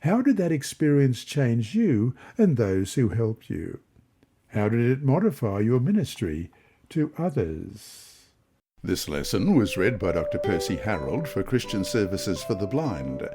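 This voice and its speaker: A man from Australia reading a scripted Bible lesson and scripture passages, with measured, deliberate phrasing. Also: on the low side, very animated. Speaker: reader of Bible study lessons.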